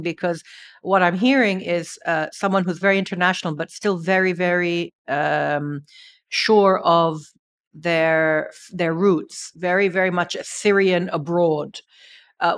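A woman of Egyptian origin who grew up internationally, hosting a conversational interview and rephrasing questions as she goes. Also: on the low side. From Egyptian, raised in several countries. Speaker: podcast host.

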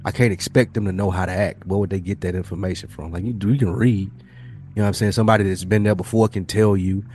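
A man's voice: deep voice, fairly even intonation, quick pace, a little mellow. A man co-hosting a podcast in casual, slangy talk, repeating phrases to make his point. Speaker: podcast host. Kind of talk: casual chat.

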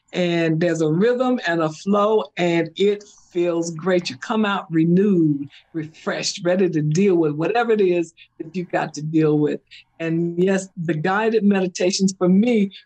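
A middle-aged woman, telling stories as a meditation guide in an interview, and she laughs often and slips into high-pitched voices when she quotes someone. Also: darkish tone. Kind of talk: animated conversational storytelling.

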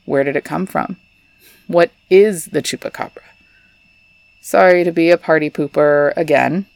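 A woman's voice: low-pitched, unhurried, vocal fry, a little mellow, no echo. A young adult woman from Texas, hosting a scripted storytelling podcast with chatty, wry asides. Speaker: podcast host.

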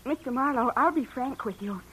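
A woman's voice: high-pitched, fairly quick, a bit bright, poor audio. An actress playing a frightened young woman in trouble, hesitant and stammering, in 1940s radio drama style. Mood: nervous. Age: young adult. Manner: hesitant, stammering, breathy pleading. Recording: old radio broadcast with faint hiss.

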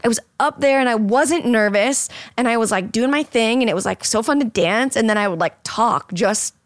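A woman's voice: a little high, moderate pace, dry recording, clean studio sound. A young woman chatting casually on a podcast, rambling with lots of "like" and frequent laughter. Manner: rambling, heavy use of "like", laughs often. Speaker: podcast host, dancer. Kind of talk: casual chat.

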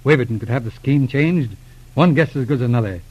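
An actor playing a brisk, earnest police inspector in an old radio drama, with an urgent, formal, clipped manner. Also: male voice, mid-range voice, natural voice. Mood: earnest, troubled. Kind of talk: 1940s radio drama acting. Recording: dull old radio recording.

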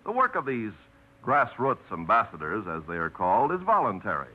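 Brief: man announcer, very animated pitch, formal, muffled old radio. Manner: measured, declamatory. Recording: muffled 1950s radio broadcast, faint music.

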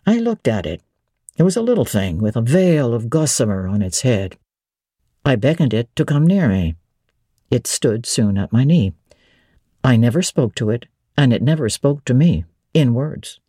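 A woman voicing a brooding, teasing middle-aged gentleman, commanding and whimsical, in a dramatic audiobook reading of a classic novel. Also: a bass voice, very animated, moderate pace, slightly rough, dry recording, studio quality, voice pushed down, heavily acted. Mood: wistful storytelling. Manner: whimsical, authoritative, theatrical.

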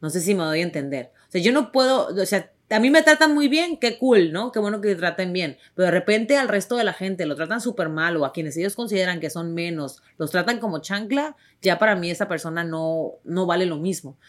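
A woman's voice: lowish voice, animated, brisk, few pauses. A young woman from Tijuana with a northern Mexican accent, telling her story to viewers in a chatty, emphatic way full of fillers. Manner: chatty, warm and emphatic, many fillers and restarts. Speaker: actress.

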